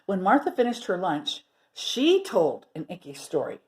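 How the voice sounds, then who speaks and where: Animated woman reading stories to children. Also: fairly low pitch, moderate pace, a little hiss or hum, rough recording. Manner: expressive, asks listeners questions. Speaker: children's storyteller. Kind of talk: animated read-aloud storytelling with asides to children.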